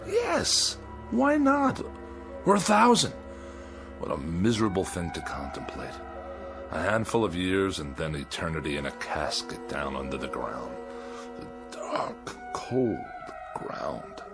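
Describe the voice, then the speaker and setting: An American actor playing a peevish, self-pitying, suspicious hypochondriac husband in a querulous, melodramatic 1960s television drama style. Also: male voice, low-pitched, huge pitch swings, takes it slowly, exaggerated voice. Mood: despairing lament. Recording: vintage TV soundtrack with music behind. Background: music.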